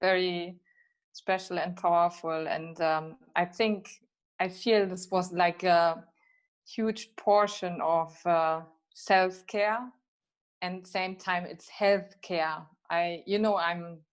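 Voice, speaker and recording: A woman with a lowish voice and a flat, even delivery talks on a video call. She often hesitates and searches for words. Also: slow, clean tone.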